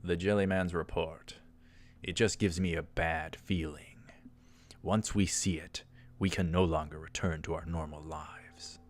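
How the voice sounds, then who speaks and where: A man's voice: deep voice, steady tone, unhurried. A man voicing a grave, protective young man in a dramatic visual novel reading. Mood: foreboding.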